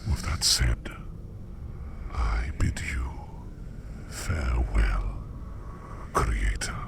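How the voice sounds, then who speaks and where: Man voicing a slow, whispering gravelly demon. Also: rumbling low, animated, heavily acted. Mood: calm, courteous. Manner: slow, formal, measured, gently reassuring. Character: curious, courteous male sleep demon visiting dreams. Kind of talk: whispered ASMR roleplay.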